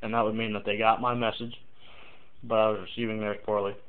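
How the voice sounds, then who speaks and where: A man giving an informal, halting tutorial, thinking aloud as he explains and demonstrates example radio calls. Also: lowish voice, level tone, clean tone. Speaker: amateur CB radio tutorial maker. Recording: muffled, tinny phone-like lo-fi audio.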